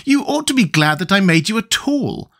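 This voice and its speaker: A man voicing a brisk, practical young boy in a children's storybook. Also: on the high side, sing-song, fairly bright tone, pitched above the performer's own voice. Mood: indignant.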